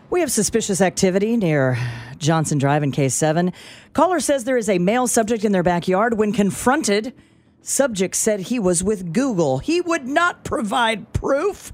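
A woman's voice: mid-range voice, big pitch swings, unhurried, darkish tone. A woman co-hosting a radio show who reads police scanner reports in a dry, matter-of-fact dispatcher style between bits of banter. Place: radio studio. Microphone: studio microphone.

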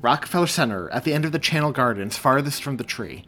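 Anxious young man in a storybook reading, played by a male narrator: hesitant, flustered, mid-pitched, music behind. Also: lively intonation, quick pace, bright, forceful tone. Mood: urgent. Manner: hesitant, exasperated, stammering.

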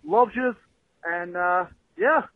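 A man's voice: high voice, slow pace, no room sound. A man from Newfoundland with a thick Newfoundland accent, calling in to a podcast, friendly and rambling with lots of fillers. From Newfoundland, Canada. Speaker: caller. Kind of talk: voicemail call-in. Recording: tinny phone line.

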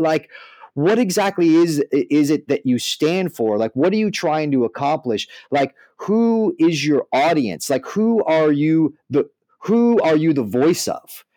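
A man's voice: on the high side, darkish tone, no echo. A man co-hosting a podcast, discussing an article in an informal, emphatic way and sometimes reading from it. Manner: informal, emphatic, peppered with "like" and "I mean". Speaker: podcast co-host.

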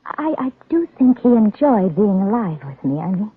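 A woman acting a fragile, lonely rich young woman who is dazed and unravelling, halting and stammering in 1940s radio style. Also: medium pitch, sing-song, husky. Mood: dazed, wistful. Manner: halting, stammering, repeating words. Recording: thin narrow-band old radio recording.

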